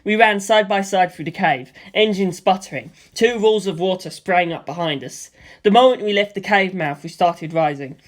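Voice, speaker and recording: A woman's voice: fairly low pitch, moderate pace. Woman reading a story aloud. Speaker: reader. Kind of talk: audiobook narration.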